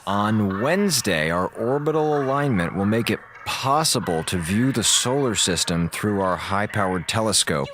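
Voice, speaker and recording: An actor playing a wisecracking, sarcastic communications officer on a space station, talking casually in a radio drama. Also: male voice, on the low side, lively intonation, normal speed, hardly any pauses, natural voice. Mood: wry. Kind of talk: radio drama audio log narration.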